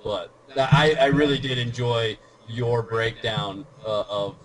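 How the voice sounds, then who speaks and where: Man, mid-pitched, earnest, advice-giving, podcast chat. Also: fairly even intonation, takes it slowly, rough recording. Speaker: YouTube commentator.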